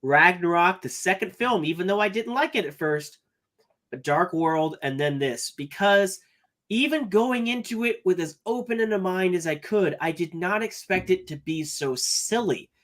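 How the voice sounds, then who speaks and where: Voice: medium pitch, lively intonation, moderate pace, fairly bright tone. A podcast co-host with a mid-range voice talks through opinions in casual chat, earnestly thinking aloud and stacking up points one after another. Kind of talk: casual movie review chat.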